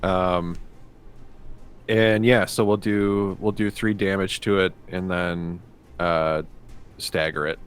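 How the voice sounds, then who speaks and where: A man's voice: low voice, flat delivery, slow, pauses often, clear, resonant tone, faint music behind. A man talking casually as a player in a tabletop roleplaying game, describing his character's moves.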